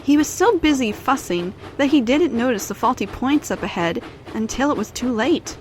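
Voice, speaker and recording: A woman's voice: a little high, lively intonation, moderate pace, slight background noise. A woman narrator reading a scripted children's story in storybook style.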